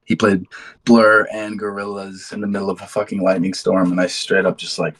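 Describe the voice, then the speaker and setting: A man's voice: low-pitched, completely flat delivery, fairly quick, a bit bright. A man in his twenties from London who later moved to the States, chatting casually in an interview with a laid-back, slangy, sweary way of telling stories. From London, England, then United States from high school. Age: 20s. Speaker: band musician being interviewed.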